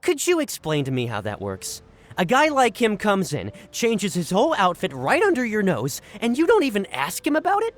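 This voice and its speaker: A woman's voice: mid-range voice, wildly swooping pitch, normal speed. A woman voicing a pushy, exasperated companion character in a video game. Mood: indignant. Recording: music playing underneath.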